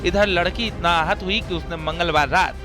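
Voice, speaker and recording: Male reporter voice-over, high bright tone, grave measured reading, faint music. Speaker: field reporter. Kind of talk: scripted news voice-over.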